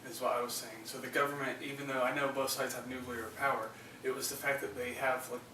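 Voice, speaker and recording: A man co-hosting a movie podcast, chatting casually about film and haltingly searching for his words. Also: mid-range voice, little pitch movement, pushed and bright. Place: echoey room, away from the mic. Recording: roomy, distant mic, faint noise.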